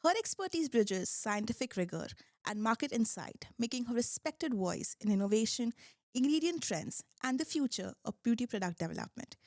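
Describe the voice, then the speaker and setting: A woman's voice: a little high, expressive, normal speed, a little mellow, no room sound, studio quality. A young adult woman hosts a podcast, reading a scripted intro and then asking questions in an upbeat, encouraging manner. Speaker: podcast host. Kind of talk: podcast interview hosting.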